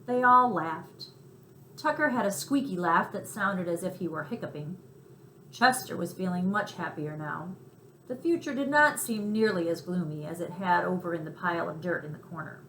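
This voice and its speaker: Animated woman reading a children's story. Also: fairly low pitch, normal speed. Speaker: storybook reader. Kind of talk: expressive read-aloud narration for children. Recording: roomy echo, faint background noise.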